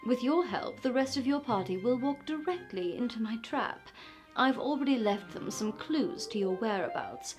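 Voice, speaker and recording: A woman playing a mockingly polite smuggler boss villainess who taunts a captive with deliberate, condescending menace in a fantasy roleplay. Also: fairly high pitch, lively intonation, soft background music, natural voice. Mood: smug scheming. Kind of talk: point-of-view fantasy roleplay.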